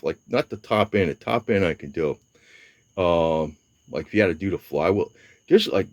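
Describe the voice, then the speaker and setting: Low-voiced rambling man in his seventies. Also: darkish tone, no echo. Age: 70s. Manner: rambling, hesitant, with fillers and swearing. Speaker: motorcycle vlogger. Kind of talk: casual rambling talk to camera.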